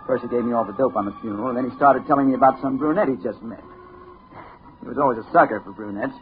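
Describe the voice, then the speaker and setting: A man's voice: medium pitch, fast, lightly acted. An American man playing a wary, determined newspaper reporter in a 1950s radio drama, brooding and clipped. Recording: noisy, tinny 1950s radio recording.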